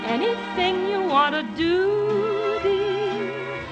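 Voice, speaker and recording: A woman's voice: very high-pitched, fairly even intonation, drawn-out words, dull audio, loud music behind the voice. A woman singing in an operatic soprano style on a 1950s radio broadcast. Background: loud orchestra.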